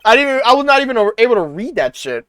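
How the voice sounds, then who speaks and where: A streamer making offhand asides during a live game playthrough, with a voice that is strained and going hoarse. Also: mid-range voice, big pitch swings, very fast, fairly bright tone. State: losing voice, strained.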